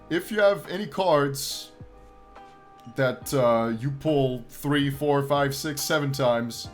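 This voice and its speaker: A man hosting a gaming channel, hoarse and tired, talking his viewers through a game feature in an upbeat, encouraging way. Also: a little high. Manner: upbeat and encouraging, with frequent laughs and throat clearing. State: tired after a long stream. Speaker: YouTube gaming channel host. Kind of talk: casual gameplay commentary to viewers.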